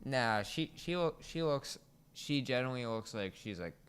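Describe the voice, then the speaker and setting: Clear-voiced young man hosting a casual podcast. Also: mid-range voice, animated, moderate pace, fairly bright tone. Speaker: podcast host.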